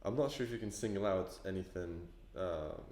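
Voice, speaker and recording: Deep-voiced man in an echoey room. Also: animated, moderate pace, darkish tone, a little hiss or hum. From Poland, raised in England. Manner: hesitant, repeats words, filler-heavy. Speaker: event participant. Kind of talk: casual on-camera interview.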